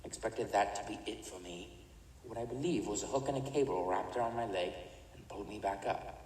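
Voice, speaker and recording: An actor in an audio drama playing a remorseless older criminal chemist, speaking in a measured, haughty, matter-of-fact way. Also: male voice, on the low side, a little mellow, natural voice. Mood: cold, matter-of-fact. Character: cold, remorseless older male villain, an imprisoned mad chemist. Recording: echoey reverberant room, distant, faint noise.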